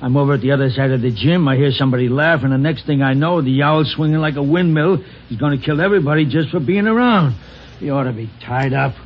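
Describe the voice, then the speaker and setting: A man playing a gruff, grumbling American boxing gym owner with blunt, slangy tough-guy talk in a vintage radio drama. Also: medium pitch, quick pace, lightly acted. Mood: indignant. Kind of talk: 1950s radio drama acting. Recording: muffled old radio recording.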